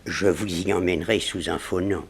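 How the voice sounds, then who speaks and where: A well-mannered, composed gentleman in a sixties radio play. His voice is low, gravelly and rough-edged. He speaks formally and with measured courtesy. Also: steady tone, full character voice. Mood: courteous, businesslike. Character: courteous, composed gentleman client briefing a detective. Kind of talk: 1960s French radio drama acting.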